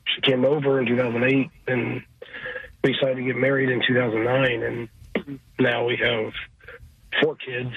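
A man in his forties from Cincinnati, a guest on a radio interview, talking casually with lots of pauses and fillers. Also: mid-range voice, level tone, creaky, breathy edge. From Cincinnati, USA. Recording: phone line.

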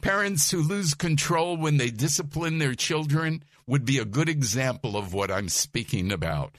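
A soft-voiced man giving a Bible teaching in numbered points, asking his listeners questions and chuckling now and then. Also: fairly high pitch, animated, normal speed, no room sound, studio quality.

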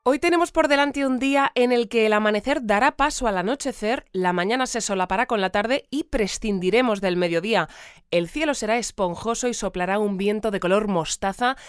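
An actress playing a composed, formal radio newsreader delivering a scripted bulletin in an audio fiction. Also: female voice, medium pitch, brisk, clean tone, dry recording, studio quality, close to an everyday voice. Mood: composed, announcing. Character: composed female radio newsreader of strange town. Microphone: close studio mic. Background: music.

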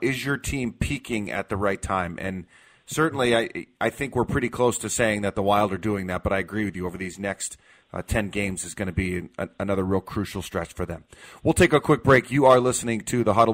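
A man's voice: on the low side, fairly bright tone. A man in his forties with an American accent, hosting casual sports talk on the radio. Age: 40s.

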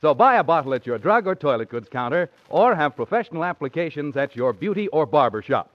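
A man's voice: fairly high pitch, big pitch swings, fairly quick, dry recording. A man reading a 1940s radio advertisement as announcer, with polished, emphatic salesmanship. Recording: dull 1940s radio recording.

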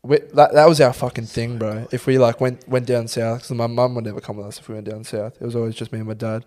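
Young man, mid voice, animated sweary co-host. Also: mid-range voice, fast talker. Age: young adult. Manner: animated, sweary, says "bro". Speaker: podcast co-host. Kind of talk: casual storytelling chat.

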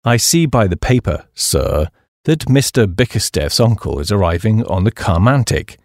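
A man plays a loyal, unflappable English valet in a comic audiobook. His British voice is formal and deferential, sinking low at the end of phrases. It is gravelly and closely recorded. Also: big pitch swings, no room sound, clean studio sound. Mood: deferential, composed. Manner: formal, measured, deferential, understated.

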